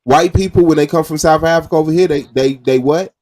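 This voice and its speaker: A man's voice: a little high, fairly quick, darkish tone. A man hosting a casual debate podcast, arguing his point insistently in slangy talk.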